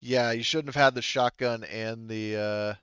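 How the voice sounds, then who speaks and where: A man acting as game master of a tabletop roleplaying game, talking casually and haltingly as he thinks aloud. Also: medium pitch, steady tone, fairly bright tone, clear, resonant tone, no echo, lo-fi sound.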